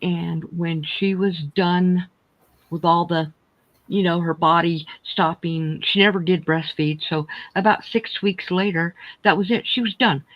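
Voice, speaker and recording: A woman's voice: fairly low pitch, steady tone, measured pace, dry recording, highs cut off. A woman in her sixties chatting casually on a livestream and telling long family stories. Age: 60s. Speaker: livestream co-host. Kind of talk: casual chat.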